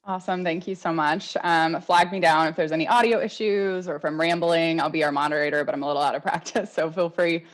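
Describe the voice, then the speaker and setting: A woman's voice: sits low, steady tone, quick pace, few pauses. A woman recruiter moderating a webinar panel on a video call, upbeat and self-deprecating.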